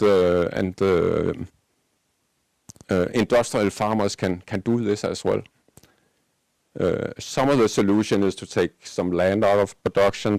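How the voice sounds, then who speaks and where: A Danish man speaks on a panel in a low voice with plenty of fry. His Danish accent is clear, and he talks haltingly, feeling for words as he goes. The sound is dry and close. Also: darkish tone, no room sound. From Denmark. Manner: hesitant, halting, searching for words. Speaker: panelist, agricultural policy advocate. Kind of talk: unscripted panel remarks.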